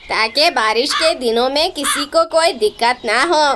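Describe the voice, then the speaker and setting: Casting: concerned male parrot for a cartoon woman performer, high-pitched, deliberate and unhurried, faint noise behind. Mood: concerned, earnest. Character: responsible, concerned male parrot bringing warnings. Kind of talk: cartoon story character voice.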